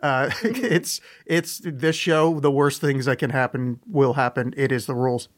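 A man's voice: medium pitch, a little slow. A man hosting a podcast in casual chat, joking and self-deprecating as he rambles. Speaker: podcast host.

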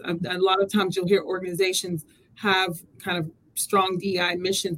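A woman's voice: medium pitch, low-quality recording. Curious woman host from Texas. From Texas, USA. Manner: curious, asks long winding questions. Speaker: podcast host. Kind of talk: casual interview talk.

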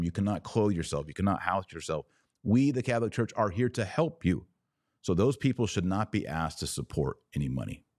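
A man with an American accent and a low voice speaks in a very dry acoustic. He hosts a live religious podcast, taking questions from viewers. His delivery is didactic and emphatic, spelling out each point like a teacher. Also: darkish tone, dry recording.

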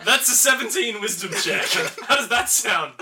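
A man's voice: very high-pitched, moderate pace, heavy background noise. A man playing a tabletop game, joking and arguing rules with the game master. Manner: joking, animated, argues rules. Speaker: player.

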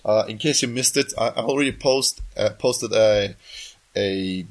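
A man's voice: on the low side, a little slow. Coughing man hosting a podcast. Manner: rambling, often restarts and corrects himself. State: sick, coughing, voice just back. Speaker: podcast host. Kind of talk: casual podcast chat.

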